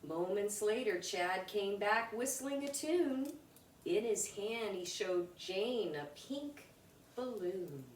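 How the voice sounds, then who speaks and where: A woman reading a rhyming children's picture book aloud in an expressive, deliberate way for young listeners. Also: mid-range voice. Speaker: storybook reader. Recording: roomy, distant mic with background noise.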